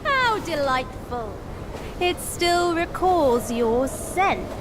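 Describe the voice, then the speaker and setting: High, slow, taunting villain master. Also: female voice, sing-song, very noisy, exaggerated voice. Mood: gleeful mockery. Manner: drawn-out, taunting delivery. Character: gloating, mocking villain master with demonic disciples. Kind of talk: theatrical fantasy game villain acting.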